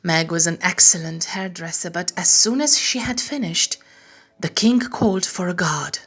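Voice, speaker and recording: A woman narrating a children's bedtime story in a measured, expressive storytelling style. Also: fairly low pitch, breathy edge.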